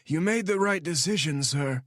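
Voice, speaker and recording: A frail old spy chief in his eighties, voiced by a man, pitched well above the narrator's usual deep tone. He speaks in a formal, measured and deferential way, with a thin, oddly different timbre. The sound is dry and close. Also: expressive, a bit bright, dry recording. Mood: earnest, advising. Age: 80s. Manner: formal and measured, keeps saying sir.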